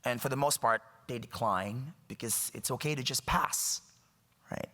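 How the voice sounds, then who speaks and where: Thirties man from the Philippines as a guest speaker, wry and emphatic, bright voice carrying through a reverberant hall. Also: medium pitch, normal speed. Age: 30s. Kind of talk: public talk. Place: lecture hall.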